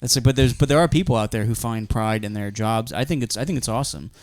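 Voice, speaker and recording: A man's voice: mid-range voice, fast talker, no echo, clean studio sound. A young adult man, co-hosting a podcast, telling a rambling, profane story and acting out the quotes. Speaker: podcast co-host. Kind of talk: casual comedic chat.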